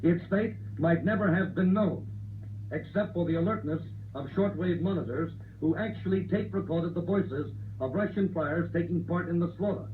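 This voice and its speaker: A man's voice: high-pitched, normal speed, far from the mic. An American man reading scripted documentary narration in a dramatic, formal manner. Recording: vintage 1950s record through shortwave-receiver speaker, narrowband, hum.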